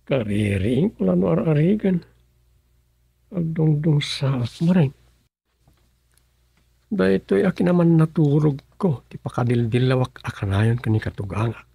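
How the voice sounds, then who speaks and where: A man's voice: on the high side, expressive, measured pace, vocal fry, long pauses, mellow, slightly rough, no room sound, exaggerated voice. An actor with an Ilocano accent playing a grumbling, sleepy village councilman in a radio comedy-drama.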